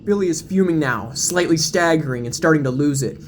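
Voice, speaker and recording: A man narrates a horror audio drama in a dramatic, scripted style. His voice sits a little high for a man, and music plays clearly behind him. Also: fairly quick.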